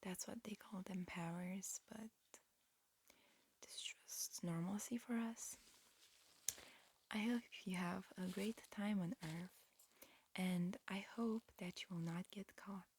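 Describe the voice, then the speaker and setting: A woman performing a whispered ASMR roleplay, mixing breathy whispers with soft speech in a halting, matter-of-fact, instructional manner. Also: mid-range voice, steady tone, a little hiss or hum. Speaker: ASMR performer playing an alien examiner.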